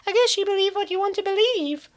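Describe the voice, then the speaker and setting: A woman's voice: very high voice, quick pace. A woman playing a naive young college woman in a comic dub, narrating and exclaiming theatrically. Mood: reflective. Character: cheerful naive college woman narrating her weight gain.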